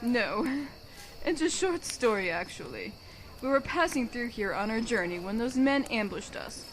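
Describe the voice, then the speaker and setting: Woman acting lively old elven granny mercenary. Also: fairly high pitch, a little slow, poor audio. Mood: calm, wry. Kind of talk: audio drama acting. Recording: clear background noise, crickets.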